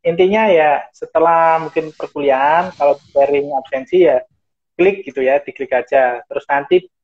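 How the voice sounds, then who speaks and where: A man's voice: a little high, moderate pace. A man explaining things informally as a guest on an online call. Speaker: guest, university tech specialist. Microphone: online video call. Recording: dull lo-fi internet call audio.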